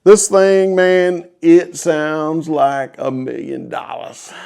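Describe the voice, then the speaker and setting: Folksy American man, higher-pitched voice. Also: slow, gravelly, very noisy. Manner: folksy, enthusiastic. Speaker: host of a guitar storytelling video series. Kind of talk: casual storytelling to viewers.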